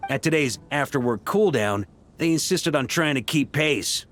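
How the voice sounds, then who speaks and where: A man's voice: fairly high pitch, animated, normal speed, fairly bright tone. A man voicing a jovial, hard-drinking veteran knight commander in a video game, easygoing and boastful in conversation. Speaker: video game character voice actor. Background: game music.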